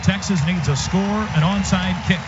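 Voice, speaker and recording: An American man calls football play by play in a raised, excitable voice with dramatic phrasing. The sound is a dull radio broadcast with loud stadium noise behind him. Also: high-pitched, normal speed, crowd noise. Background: loud outdoor stadium noise.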